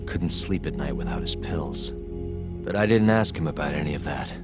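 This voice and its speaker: A brooding male detective from a game cutscene, with an extremely low voice. He speaks in a grave, terse, deliberate way, weighed down by guilt, as music plays clearly behind him. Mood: somber, resolved. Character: brooding guilt-ridden male detective and former defense lawyer. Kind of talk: video game cutscene acting.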